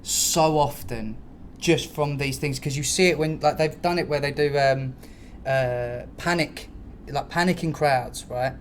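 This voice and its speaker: A man hosting a casual podcast, telling stories in a slangy, sweary, animated way. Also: medium pitch, a little slow, a little hiss or hum. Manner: animated storyteller, sweary, slangy, mimics noises and dialogue. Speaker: podcast host.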